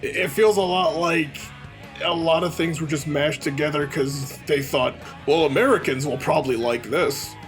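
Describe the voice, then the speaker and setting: A man's voice: on the high side, moderate pace, faint music behind. A man co-hosting a let's play, talking casually and bluntly in a rambling conversational way. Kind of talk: casual gaming commentary chat.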